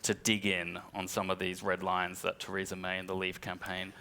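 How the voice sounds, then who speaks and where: Guy running a panel, low but really bright sharp voice, keeps it moving. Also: completely flat delivery. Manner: brisk and directive. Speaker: moderator. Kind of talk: moderating a panel. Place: conference hall.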